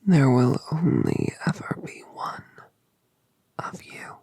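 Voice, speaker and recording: A woman voicing a colossal, doting mother dragoness, whispering breathily and cooing gentle questions in an ASMR roleplay. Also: rumbling low, sing-song, slow pace, creaky, slightly rough, dry recording, full character voice. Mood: tender.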